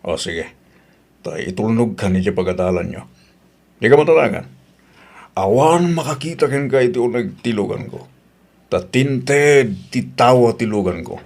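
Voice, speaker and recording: A man playing a pushy older landlord with a husky voice in an audio drama. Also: mid-range voice, lively intonation, normal speed, pauses often, a little mellow. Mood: coaxing. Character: Fredo, older male landlord, pushy secret admirer. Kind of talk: Ilocano audio drama acting.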